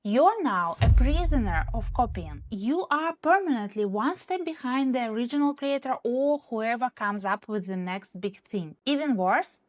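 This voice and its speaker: A woman's voice: a little high, expressive, normal speed, hardly any pauses, no echo. A woman who is not a native English speaker, a social media consultant giving direct, emphatic advice in a scripted talk to camera.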